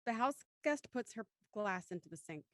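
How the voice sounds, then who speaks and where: A woman's voice: mid-range voice, animated, normal speed, no room sound, studio quality, lightly acted. A woman narrating scene directions for an audio drama in a scripted storytelling style. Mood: matter-of-fact.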